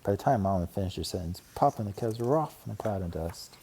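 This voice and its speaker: A man narrating a children's storybook aloud in an expressive read-aloud style. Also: on the low side, fast talker, creaky, a little hiss or hum. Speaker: storybook reader.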